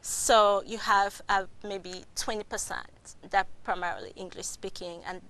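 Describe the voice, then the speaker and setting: A middle-aged woman nurse with a Cameroonian accent, answering interview questions in a soft, halting way with frequent laughter. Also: mid-range voice, steady tone, poor audio. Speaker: registered nurse guest.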